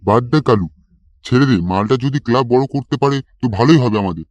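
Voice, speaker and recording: A man voicing a gruff, teasing, grumbling village fellow in a comedy cartoon. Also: mid-range voice, fast, dry recording, close to an everyday voice. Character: gruff, mocking village petrol pump guy and club mate.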